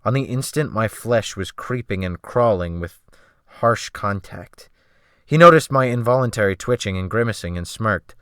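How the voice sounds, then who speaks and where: Smooth low-voiced male audiobook narrator. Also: expressive, normal speed, fairly bright tone, dry recording, studio quality. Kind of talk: scripted dramatic first-person narration. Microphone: close USB desktop microphone.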